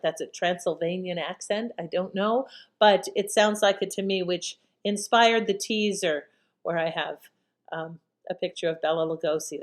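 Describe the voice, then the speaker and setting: A woman hosting an old-time radio channel, chatting casually in a rambling way with trailing thoughts. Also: lowish voice. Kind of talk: casual chatty commentary after a programme.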